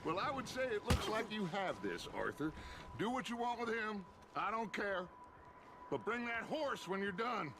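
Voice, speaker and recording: An actor playing a middle-aged American gang leader in a video game, giving orders in a measured, authoritative and protective way. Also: male voice, high-pitched, sing-song, noisy background. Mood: cold, controlled. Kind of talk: dramatic video game cutscene acting.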